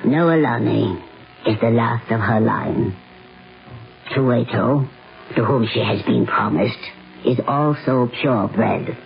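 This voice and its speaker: A performer with a low voice playing a stern, regal Samoan mother in a 1970s radio drama, solemn and portentous. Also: vocal fry, soft background music. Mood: solemn, warning. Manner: slow, solemn, portentous, riddling. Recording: dull vintage radio recording.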